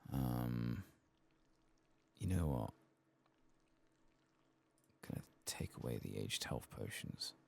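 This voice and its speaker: A man speaking softly in a husky, gravelly voice, giving quiet, unhurried commentary as he streams a game playthrough. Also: rumbling low, animated. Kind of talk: soft-spoken ASMR game playthrough commentary.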